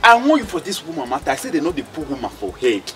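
A man's voice: a little high, sing-song, racing, fairly bright tone, soft background music. A man playing a hot-tempered, scolding friend in a drama, with a Nigerian accent and a blustering, streetwise manner. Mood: questioning, reproachful. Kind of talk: comedy drama acting in Nigerian Pidgin.

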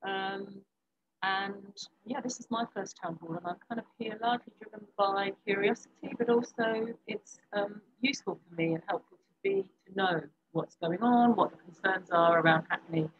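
Woman, husky, dull lo-fi call audio, friendly, halting. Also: mid-range voice. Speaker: university staff. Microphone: video call.

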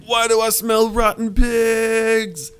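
A man's voice: very high voice, flat delivery, slow, a bit bright, clean tone, voice pushed up, heavily acted. A man voicing a melodramatic, worried game character in a theatrical, exaggerated way.

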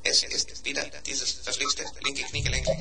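An actor playing a small, polite, matter-of-fact robot in a children's audio play, speaking in a measured, formal, deliberate way. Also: male voice, medium pitch, completely flat delivery, creaky, natural voice. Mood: matter-of-fact, friendly. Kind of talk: 1970s German children's audio play acting. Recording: noisy old record transfer with clicks.

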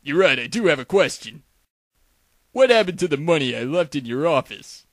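A man voicing a flippant, cocky detective in a parody cartoon dub, wisecracking in a deadpan manner. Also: a little high, moderate pace, dry recording. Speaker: voice actor in a fan-made anime parody dub.

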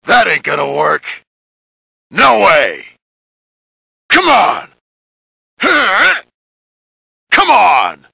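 A tough, burly wrestling brawler from a fighting game, full of swagger. His voice is raspy and hard-edged, swinging widely in pitch between taunts and battle grunts. It is recorded very dry and close. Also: wildly swooping pitch, drawn-out words, pauses often, no echo, exaggerated voice. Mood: cocky, taunting. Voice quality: gruff, raspy. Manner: shouted grunts and short cocky taunts. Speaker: video game voice actor.

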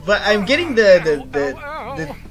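A young man giving casual reaction commentary in a halting, hesitant way, repeating words as he searches for the next one. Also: sits high, big pitch swings, music in the background. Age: young adult. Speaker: reaction video host. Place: small room indoors.

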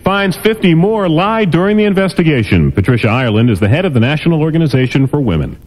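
Man reading radio news, lively pitch. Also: poor audio. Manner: crisp, measured newsreader delivery. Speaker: radio newscaster. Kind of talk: news and sports update.

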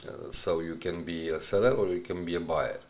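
Male tutorial narrator, low voice, non-native English speaker, hesitant unscripted delivery. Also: steady tone. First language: not English. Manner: halting, hesitant, searching for words. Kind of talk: unscripted screen-recorded walkthrough.

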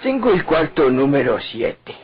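A man playing a deferential, halting, obliging character in an old Mexican radio drama. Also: fairly high pitch, very animated, breathy edge, heavily acted. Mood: nervous, respectful. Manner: halting, courteous. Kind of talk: golden-age Mexican radio drama acting. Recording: dull vintage radio recording.

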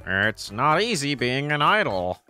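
Man as animated, teasing young friend. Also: medium pitch, measured pace, soft background music, heavily acted. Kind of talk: scripted manga dialogue.

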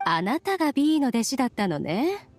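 A woman's voice: high voice, very animated, normal speed, darkish tone, soft background music, exaggerated voice. A woman playing a composed, sly young female visitor in an anime game, teasing and assured. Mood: formal, poised. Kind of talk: Japanese anime game acting.

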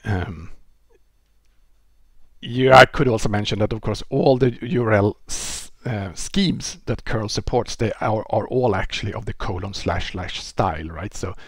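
A man in his forties with a Swedish accent, giving a relaxed technical lecture over a headset mic, often restarting and filling with "uh" and "you know". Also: on the low side, unhurried, no echo. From Sweden. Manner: relaxed explaining, with frequent restarts and fillers. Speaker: presenter. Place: indoor room.

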